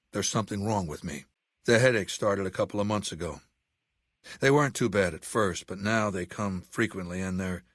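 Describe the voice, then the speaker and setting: A male actor playing an imperious middle-aged dictator of a slaver legion in a video game, speaking in a commanding, condescending, deliberate way with menace. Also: lowish voice, fairly bright tone, no room sound, studio quality. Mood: grave, confiding. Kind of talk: video game character dialogue.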